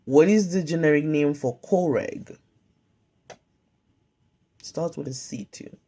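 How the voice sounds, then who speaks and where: Woman, unhurried quiz questions, warm dark voice, measured pauses, phone mic at home. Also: low voice. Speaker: pharmacy tech study tutor. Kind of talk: slow flashcard-style quiz review. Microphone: phone camera filming whiteboard.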